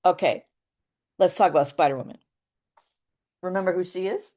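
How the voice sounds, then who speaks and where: A woman with a naturally deep voice, leading a voice workshop in a thoughtful, searching way, with many pauses and restarts. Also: dark tone. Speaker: voice workshop leader. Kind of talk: reflective workshop talk.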